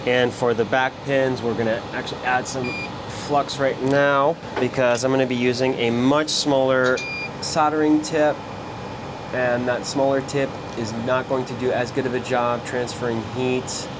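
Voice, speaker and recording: A man's voice: medium pitch, a little slow. A man giving a casual step-by-step narration as a repair technician, talking through his actions as he works. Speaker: electronics repair technician presenter. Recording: steady fan-like background noise.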